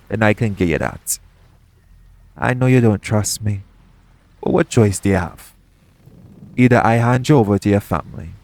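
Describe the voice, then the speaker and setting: A man's voice: low voice, darkish tone. A man playing a shady, persuasive pirate captain in an audio roleplay, bargaining straight to the listener in roguish, ungrammatical speech. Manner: coaxing, bargaining, roguish dialect grammar. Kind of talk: audio roleplay acting, addressing the listener directly.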